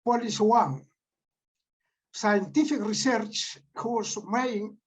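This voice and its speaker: Slow, emphatic Peruvian-accented man. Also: high-pitched, low-quality recording. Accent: Peruvian Spanish. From Peru. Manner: deliberate, emphatic, reads out declarations. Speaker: conference presenter. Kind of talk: formal prepared presentation.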